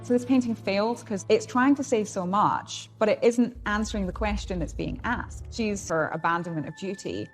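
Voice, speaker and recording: A woman's voice: medium pitch, animated, normal speed, few pauses, soft background music. A woman giving explanatory commentary as an interviewee in a history documentary.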